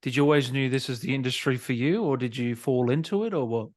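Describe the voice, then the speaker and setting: A man's voice: mid-range voice, fast talker, darkish tone. A man hosting a casual interview, asking questions in an informal, chummy way. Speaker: interviewer, gaming show host.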